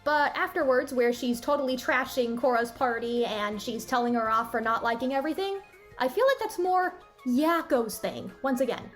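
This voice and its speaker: High-voiced woman reviewer over music. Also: normal speed. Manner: candid, critical, conversational. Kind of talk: opinionated cartoon review commentary. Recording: music bed behind the voice. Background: background music.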